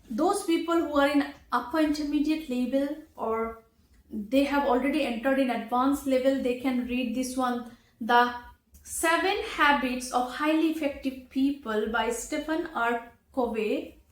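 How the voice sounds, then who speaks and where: A woman with a high voice teaches at an unhurried pace, setting out each phrase with care and pausing between them. She sounds like an instructor addressing her viewers, recorded in an echoey room. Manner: deliberate, carefully enunciated, pausing between phrases. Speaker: English teacher, host. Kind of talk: instructional talk to camera.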